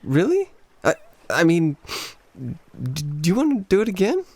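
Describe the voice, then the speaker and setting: A man's voice: high voice, wildly swooping pitch, normal speed, dry recording, exaggerated voice. A man playing an awkward, smitten young American vacationer in a radio play, hesitant and self-effacing. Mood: smitten, nervous.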